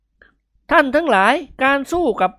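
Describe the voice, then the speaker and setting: Woman as a brash, high-pitched comic male hero. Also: lively intonation, a little slow, no echo, clean studio sound. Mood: boastful, loud. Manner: declamatory, loud, mock-grand.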